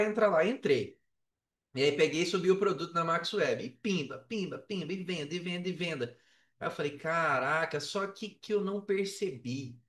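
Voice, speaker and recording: A man's voice: high-pitched, fairly bright tone, far from the mic. A man with a Brazilian accent telling a story casually and animatedly in slangy speech, acting out bits of dialogue. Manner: colloquial, slangy, re-enacts dialogue. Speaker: podcast guest, affiliate marketer. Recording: roomy, echoey, off the mic.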